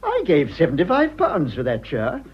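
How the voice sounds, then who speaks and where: A man's voice: a little high, huge pitch swings, moderate pace, husky, full character voice. An actor playing a stuffy, earnest, hesitant man in his thirties with an upper-class English accent in a radio play. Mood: fussy, anxious. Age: 30s. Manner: prim, hesitant, solemn. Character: stuffy, earnest, fussy son of thirty-five. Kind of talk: stage comedy radio acting. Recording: dull, muffled old radio drama.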